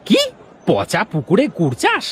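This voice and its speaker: A man voicing an excitable village man in a children's cartoon folk tale. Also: fairly high pitch, a little slow, slight background noise. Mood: curious, surprised. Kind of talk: children's cartoon story acting.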